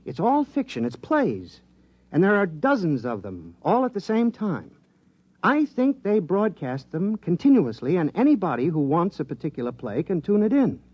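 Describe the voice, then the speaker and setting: An actor playing an eager, absent-minded government scientist in a 1950s radio drama, explaining things in an animated way. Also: male voice, high-pitched, moderate pace, dry recording, dull audio, full character voice. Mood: pleased, matter-of-fact.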